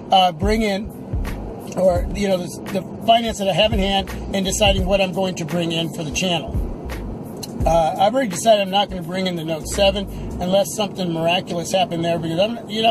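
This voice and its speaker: This is a middle-aged man with a notably high voice, talking casually to his viewers as he drives. He thinks aloud in halting, unscripted stretches. He is recorded inside a moving car, with music clearly audible behind him. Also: rough recording. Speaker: tech vlogger. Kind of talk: casual unscripted vlog chat. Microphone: camera or phone on the dashboard.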